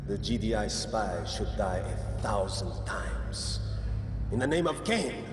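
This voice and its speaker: An actor playing a stern, scheming military general in a game cutscene. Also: male voice, lowish voice, very animated, slow pace. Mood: condemning. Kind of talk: video game cutscene acting. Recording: echoey hall, music behind.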